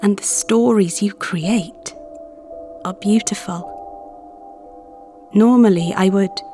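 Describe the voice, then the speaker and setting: A woman playing a gentle, protective therapist in an ASMR audio story, earnest and deliberate, with music behind her. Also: medium pitch, close to an everyday voice. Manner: soothing, earnest, deliberate with pauses. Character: gentle, protective female visualization therapist guarding imagined minds. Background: background music.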